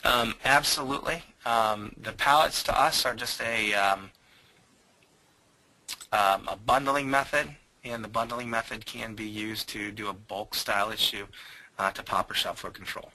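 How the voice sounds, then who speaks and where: A man presenting software in a webinar, answering audience questions with frequent hesitations. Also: lowish voice, steady tone, measured pace, poor audio. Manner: hesitant, many um fillers.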